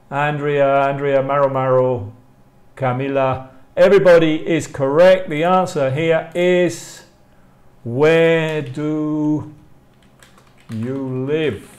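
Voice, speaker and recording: A man with an English accent teaching a language lesson, enunciating carefully and repeating phrases. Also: a little high. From England. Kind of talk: live online language lesson, reading model questions and answers.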